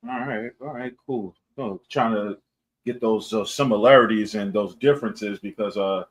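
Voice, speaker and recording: A man's voice: on the low side, normal speed, slight background noise, rough recording. A man hosting a casual podcast interview, speaking in a hesitant, loosely improvised way. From New York, United States. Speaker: podcast host.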